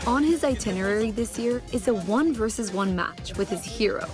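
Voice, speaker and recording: A woman's voice: a little high, animated, normal speed. A woman reading scripted documentary narration in the role of narrator. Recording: music behind the voice.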